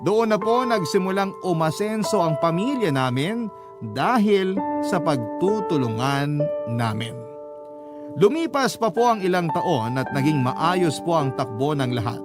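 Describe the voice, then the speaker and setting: Grateful brother narrator, higher-than-average male voice, earnest, respectful, scripted radio drama, loud musical backing. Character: grateful younger brother narrating his family's life story.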